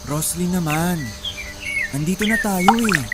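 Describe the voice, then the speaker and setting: A man's voice: high-pitched, unhurried, heavy background noise. A man playing a desperate, insistent husband hardened by poverty, speaking urgently in a Filipino radio drama. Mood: firm. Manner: urgent, insistent, emotional delivery.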